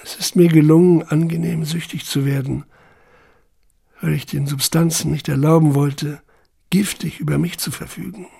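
Radio drama: introspective young deportee, fairly high, dark-toned, deliberate, haunted and intense. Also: male voice, fairly even intonation, gravelly, husky, exaggerated voice. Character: introspective young male prisoner, haunted and self-persuading. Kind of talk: literary radio drama monologue.

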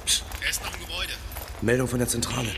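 Actor as fast-talking tense policeman. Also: mid-range voice, big-room sound, background noise, lightly acted. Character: hurried, tense male police officer reporting. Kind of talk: radio drama acting.

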